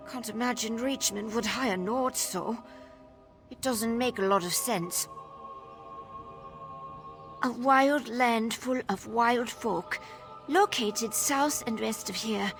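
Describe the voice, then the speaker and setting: A woman's voice: fairly high pitch, slow, pauses often, faint music behind. A woman playing a wary, downtrodden young Nord informant in a video game, telling things in a guarded, world-weary way. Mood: distrustful, anxious. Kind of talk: video game dialogue acting.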